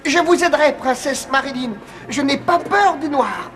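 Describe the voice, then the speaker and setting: Cartoon hero, tiny boy weevil: high, unhurried, plucky and boastful, echoey with music behind. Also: lively intonation. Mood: bold. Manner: eager, boastful, chuckling. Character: small plucky male weevil adventurer, young, brave, cheerful. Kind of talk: cartoon character acting.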